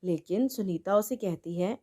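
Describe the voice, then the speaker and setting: A woman's voice: mid-range voice, normal speed, warm tone. A woman narrating a scripted story as the storyteller.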